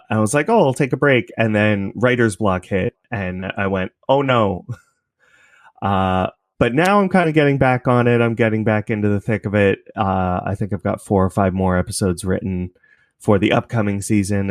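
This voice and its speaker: Guy from canada, deeper voice, super dry sound, casual podcast guest kinda thinking out loud. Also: no echo. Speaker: interview guest, podcast creator. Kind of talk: casual interview chat.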